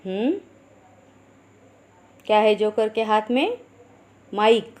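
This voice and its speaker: Slow-speaking woman teaching a Hindi lesson. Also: medium pitch, a little mellow. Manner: slow, explaining, asks questions then answers them. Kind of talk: Hindi grammar lesson for schoolchildren.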